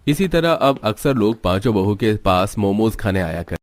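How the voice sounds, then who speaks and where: A man reading scripted narration for an animated family story. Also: fairly low pitch, moderate pace, faint music behind.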